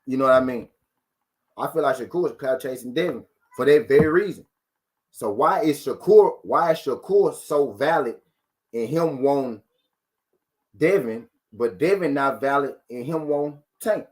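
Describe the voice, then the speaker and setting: A man's voice: mid-range voice, animated, moderate pace. Argumentative man hosting live boxing talk. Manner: argumentative, rhetorical questions, repeats himself. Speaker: boxing talk show host. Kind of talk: live sports talk to chat viewers.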